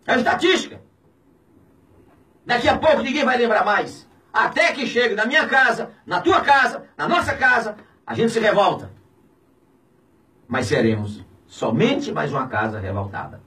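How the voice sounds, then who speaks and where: A Brazilian pastor in his fifties rails in an indignant, preaching tone, now shouting, now dropping low. His voice sits high and swings wildly in pitch. He sounds a little off-mic in a small radio studio. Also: animated, moderate pace, distant mic. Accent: Brazilian Portuguese. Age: 50s. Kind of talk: impassioned radio commentary. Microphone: studio mic on a boom, filmed from a distance.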